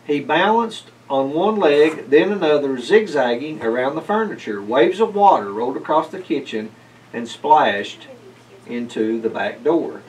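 A man's voice: fairly high pitch, expressive, a little slow, distant mic, a little hiss or hum, rough recording. A middle-aged man narrating a children's storybook aloud in a library room. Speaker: library board chair reading aloud. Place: indoors, library room with bookshelves.

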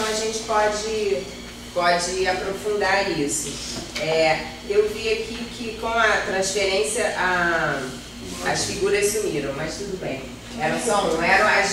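Distant, echoey Brazilian woman lecturing. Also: medium pitch. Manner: hesitant, self-deprecating, repeats words while searching. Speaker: guest lecturer, environmental civil servant. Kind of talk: informal lecture to a class. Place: classroom with projector, indoors. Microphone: far camera. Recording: roomy, noisy classroom recorded from far off.

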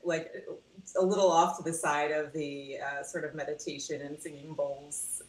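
A woman chatting casually on a video call in a halting, self-interrupting way, as a coach would about their work. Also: deep voice, steady tone. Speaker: mindfulness coach. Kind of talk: casual chat. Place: indoors at home, on a video call. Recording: roomy echo on a video call.

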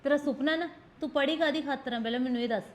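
An actress plays a fierce young sister-in-law who scolds and pushes. She talks in an insistent, no-nonsense way. Her voice sits a little high. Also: very fast, lightly acted. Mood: firm comforting. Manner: scolding, insistent, repeats demands for attention. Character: firm protective sister-in-law, blunt and fierce. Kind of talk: village family drama acting.

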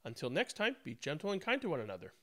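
A man in his thirties, host of a children's read-aloud, speaking in an expressive, sing-song way. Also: fairly high pitch, fast, dry recording. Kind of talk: picture-book read-aloud with casual introduction. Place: indoors, small room at home.

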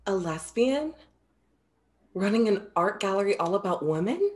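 Young woman playing ancient Greek muse, proud, playful, theatrical, formal phrasing, very animated pitch, staged reading. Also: moderate pace, natural voice. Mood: assertive. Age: young adult. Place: indoor room, video call.

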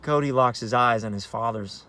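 Man, thirties, American accent, mid pitch, actor's narration, halting conversational delivery, uneven emphasis, car interior. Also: pushed and bright. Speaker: actor in a staged reading. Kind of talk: dramatic narration of a play read on book. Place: inside a parked car in daylight.

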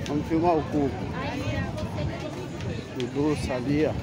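A man's voice: a little high, steady tone, measured pace. Northeastern Brazilian man in a noisy market. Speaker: vlogger. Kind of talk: casual walk-through commentary of a street market. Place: outdoor street market. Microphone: handheld phone behind the camera. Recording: loud crowd and street noise.

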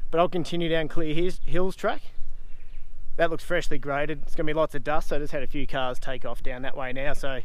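Aussie bloke filming himself in the bush, laid back and chatty, bit of a higher voice, lots of ums. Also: male voice, brisk. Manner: relaxed, chatty, lots of "um" and "yeah". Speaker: solo four-wheel-drive travel vlogger. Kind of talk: casual on-the-road narration and trail guidance. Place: outdoors in bush tracks, beside and inside his vehicle. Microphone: camera held at arm's length.

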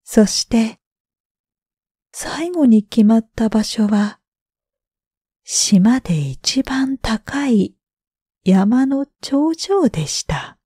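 A woman narrating bedtime stories for sleep in a gentle, drawn-out way with breathy phrase endings. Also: a little high, big pitch swings, no room sound, clean studio sound. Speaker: storyteller, freelance announcer.

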